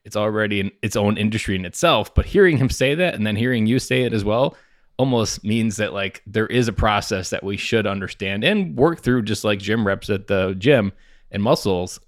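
A man with a fairly low baritone voice chats on a podcast. He asks curious questions and wanders off on tangents. The sound is dry and close. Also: brisk, no room sound. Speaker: podcast co-host. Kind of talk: casual podcast chat.

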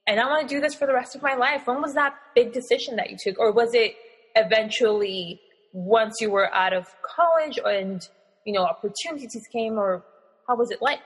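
A woman's voice: fairly high pitch, moderate pace, breathy edge, in a large hall. A woman hosting an interview podcast, enthusiastic, asking long, winding questions. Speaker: podcast host.

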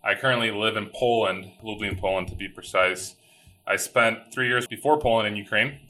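A man's voice: lowish voice, normal speed, pushed and bright. A man, a company founder telling his story in a casual, easygoing way, full of repeated words and "like". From Chicago, USA. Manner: easygoing, frequent word repeats and "like" fillers. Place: remote call from home.

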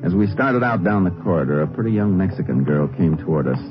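An American actor playing a calm, authoritative Texas Ranger in an old-time radio western, speaking in a terse, measured way. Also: male voice, deep voice. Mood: sober narration. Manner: terse, measured, businesslike questioning. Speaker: radio actor in lead role and narrator. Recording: dull vintage radio recording with music.

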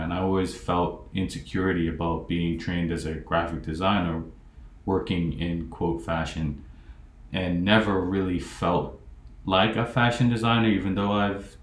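A man in his forties hosting an interview podcast, talking reflectively with frequent pauses and fillers. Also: sits low. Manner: reflective, halting, many fillers. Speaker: podcast host. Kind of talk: casual interview talk. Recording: echoey room.

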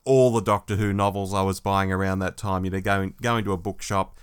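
A man's voice: sits low, steady tone, a little fast, smooth, no room sound, studio quality. An Australian man in his forties co-hosting a podcast in a casual, reflective, conversational style. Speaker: podcast co-host. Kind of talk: casual chat, discussion.

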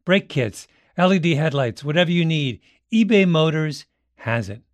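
A man giving a scripted ad read in a lively, conversational manner. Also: fairly high pitch, normal speed, no echo. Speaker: ad announcer.

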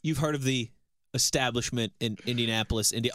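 A man's voice: mid-range voice, fairly quick, fairly bright tone, dry recording, studio quality. A man in his early thirties co-hosting a casual sports show, teasing his partner and telling a long chatty story. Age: 30s. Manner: teasing, chatty anecdote-teller. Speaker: co-host.

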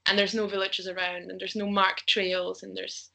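Bright-voiced young Austrian woman chatting. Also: medium pitch, level tone, moderate pace, vocal fry. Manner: chatty, laughs often, thinks aloud with ums. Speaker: podcast guest, travel blogger. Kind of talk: casual interview chat.

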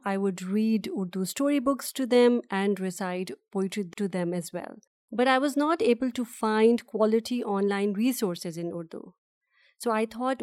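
Measured woman from Pakistan, podcast guest. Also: medium pitch, a little mellow, no echo, clean studio sound. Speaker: guest, children's podcast creator. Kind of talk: interview and language teaching.

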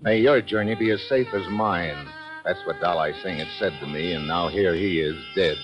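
Man playing a deep-voiced hard-boiled American operative. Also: moderate pace, muffled recording, over music, lightly acted. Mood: tense, wry. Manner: hard-boiled, clipped, sardonic. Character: tough, wary, wisecracking male American operative narrator. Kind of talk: 1950s radio drama acting and narration. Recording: vintage 1950s radio broadcast.

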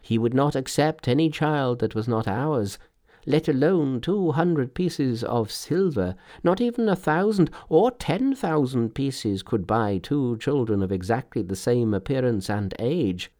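A man performs an old village father from a storybook, raised in pitch and very lively in intonation. He sounds humble and earnest, pleading his words with deferential, emotional emphasis. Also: measured pace, natural voice. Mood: solemn. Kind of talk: storybook character voice.

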